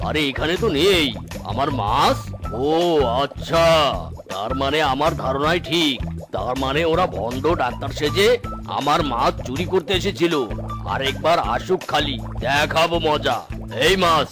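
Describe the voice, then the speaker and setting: A man's voice: fairly high pitch, measured pace, lightly acted. A man playing a proud, suspicious tiger uncle who guards his pond in a cartoon, blustering as he talks. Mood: suspicious, indignant. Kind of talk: cartoon voice acting. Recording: music behind the voice.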